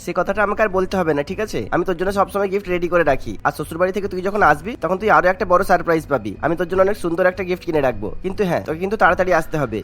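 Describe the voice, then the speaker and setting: An actor playing a caring, teasing young elder brother in a cartoon, chatty and gently chiding. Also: male voice, high-pitched, racing, hardly any pauses. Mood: affectionate.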